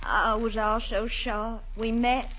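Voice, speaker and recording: An actress playing a coy, gushing Southern belle widow with a Southern US accent in a 1940s radio comedy. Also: female voice, a little high, level tone, measured pace, natural voice. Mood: wistful, dramatic. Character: coquettish Southern belle widow, sweetly flirtatious. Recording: dull, hissy 1940s radio recording.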